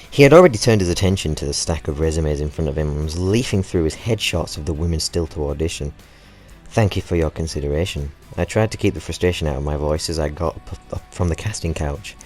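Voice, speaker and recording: A British man with a low-pitched voice reads a story aloud in a loose, comedic way. He is cheeky and sweary, slipping out of the narration into chatty asides, with faint music behind him. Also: a little fast, a little mellow. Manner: sweary, cheeky, often breaking into laughter. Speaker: host of a gaming channel reading stories aloud.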